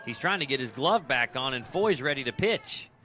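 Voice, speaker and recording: American male baseball announcer, mid-pitched and bright, live commentary, clipped descriptive delivery with chatty asides. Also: animated, moderate pace, a little hiss or hum. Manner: clipped descriptive phrases, conversational between pitches. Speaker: radio play-by-play baseball announcer.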